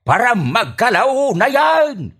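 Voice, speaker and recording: A man's voice: very high voice, wildly swooping pitch, slow, fairly bright tone, slightly rough, full character voice. A man playing a scolding, exasperated older father with an Ilocano Filipino accent in a comedy radio drama, grumbling and drawing out his exclamations.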